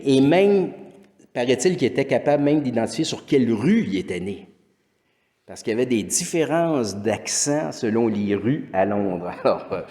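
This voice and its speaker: A man's voice: medium pitch, darkish tone. Québécois man lecturing in an echoey hall. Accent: Québécois French. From Quebec. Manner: rambling, with frequent restarts and fillers. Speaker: teacher giving a lecture. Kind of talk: conference lecture. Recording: echoey hall with long reverb.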